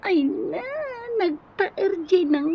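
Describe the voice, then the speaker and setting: A woman's voice: extremely high pitch, very slow, exaggerated voice. An actress with an Ilocano accent voicing a giggly, teasing, lovestruck young woman in a radio drama. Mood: giddy, swooning. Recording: dull lo-fi audio, faint background noise.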